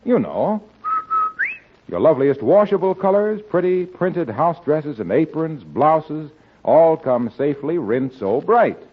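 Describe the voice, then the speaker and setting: Brief: male radio announcer, lively pitch, tinny vintage broadcast sound. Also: background noise. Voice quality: brisk, upbeat selling.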